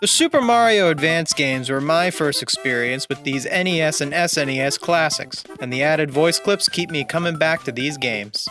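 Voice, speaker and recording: A young man hosts a scripted countdown in a bright, fairly high voice. His pitch moves around a lot as he narrates, and soft music plays underneath. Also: moderate pace, hardly any pauses. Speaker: video host. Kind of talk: scripted narration, top-ten countdown commentary. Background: background music.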